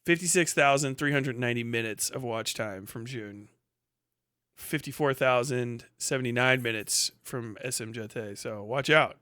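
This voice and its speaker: A man hosting a casual, unscripted livestream, talking bluntly with profanity, muttering asides and reading things out loud as he goes. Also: medium pitch, moderate pace, no room sound, clean studio sound. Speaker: livestream host. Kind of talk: reads names, stats and posts aloud.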